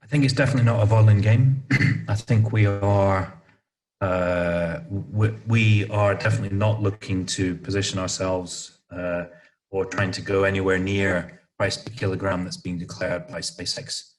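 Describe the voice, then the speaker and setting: A man answering as an executive guest in an interview, explaining off the cuff with halting, repeated words as he searches for phrasing. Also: low-pitched, steady tone, darkish tone. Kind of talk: panel interview, extemporaneous explanation.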